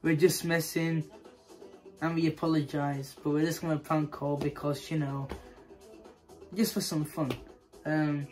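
A young man with a fairly high voice hosts a casual vlog. He talks to camera in a small bedroom, with faint music behind him. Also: flat delivery, a little slow, pauses often, darkish tone. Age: teenager to young adult. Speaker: video host.

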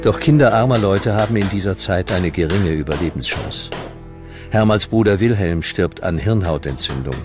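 Very deep-voiced documentary narrator, male. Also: lively intonation, normal speed, music in the background.

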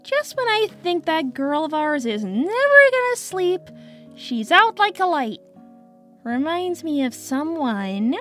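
A woman voicing a sweet, devoted, excitable young female cartoon hedgehog in a comic dub, with girlish, emotional, dramatic delivery. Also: high voice, slow pace, soft background music, full character voice. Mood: soft, coy.

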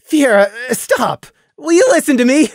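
A narrator plays a bashful young pony stallion from a storybook, stammering and tripping over his words. The voice rides noticeably higher and louder than the narration, with a lively, animated swing, recorded close and dry. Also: moderate pace, creaky, no echo, full character voice. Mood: flustered. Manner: stammering, flustered, protesting.

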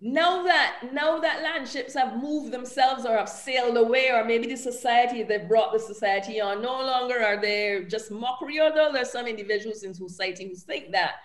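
High, lively woman in an echoey room. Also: normal speed, few pauses. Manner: animated storyteller, affirming with frequent yeses. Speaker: panelist, folklore expert. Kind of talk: panel discussion over video call. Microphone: video call. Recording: echoey room on a video call.

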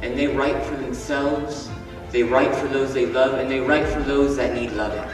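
A man reciting a spoken word poem on a school stage in a slow, measured, declamatory way. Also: mid-range voice, fairly even intonation. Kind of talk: spoken word poetry performance. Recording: echoey hall from far off, music behind.

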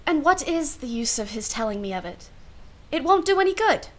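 A woman's voice: high-pitched, sing-song, fairly quick. Woman playing a wry, world-weary aunt. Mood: musing, exasperated. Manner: dry, arch, formal phrasing. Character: wry, world-weary aunt minding her young niece. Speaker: actress. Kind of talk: radio play acting.

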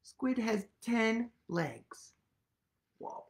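A man's voice: very high-pitched, big pitch swings, extremely slow. A man in his thirties reading to children with playful, exaggerated wonder and questions to the listeners, recorded in a small room. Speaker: storytime reader. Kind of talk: animated children's picture-book read-aloud. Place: small room at home, indoors.

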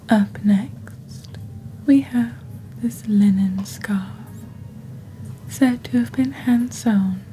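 Young female ASMR presenter, breathy near-whisper, very mellow and dark tone, deliberate scripted read, faint ambient noise. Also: medium pitch, low-quality recording. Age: young adult. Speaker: ASMR host playing a shopping channel presenter. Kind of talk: soft-spoken ASMR, scripted product presentation.

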